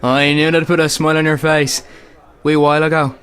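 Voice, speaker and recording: A man's voice: on the high side, flat delivery, brisk, a bit bright, clear, resonant tone, natural voice. A man playing an opinionated older Irishman chatting in a radio play, with an Irish accent. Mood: opinionated, wry. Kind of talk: conversational radio play acting.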